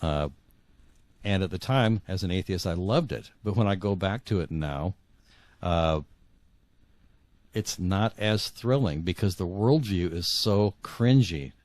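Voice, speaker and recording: A middle-aged man with a low voice, recorded dry and close. He talks as an interview guest reflecting on his own life, halting and repeating words for emphasis. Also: animated, a little mellow, no room sound. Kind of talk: reflective personal storytelling in an interview.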